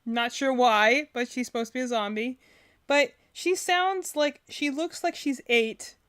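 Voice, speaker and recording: Rambling woman streamer with a higher-pitched voice. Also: measured pace, fairly bright tone, smooth. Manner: rambling, thinking aloud. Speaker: streamer reacting to game videos. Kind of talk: casual, unscripted reaction commentary.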